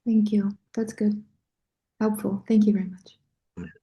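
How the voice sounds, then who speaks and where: A woman asking hesitant questions as a prospective student on a video call, with many pauses and fillers. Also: mid-range voice, level tone, dull audio. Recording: echoey room on a video call.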